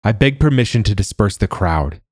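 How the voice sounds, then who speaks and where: Man playing a troubled, husky nobleman father. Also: fairly low pitch, lively intonation, moderate pace, no room sound, clean studio sound, pitched above the performer's own voice. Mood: firm. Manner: hushed, terse, commanding. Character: troubled adult nobleman father, urgent and guarded.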